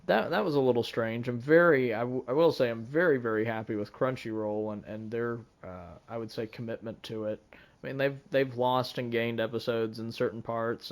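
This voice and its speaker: A man with a medium-pitched voice chats as a podcast panelist. His delivery is casual and measured, a little halting as he builds each thought while he goes.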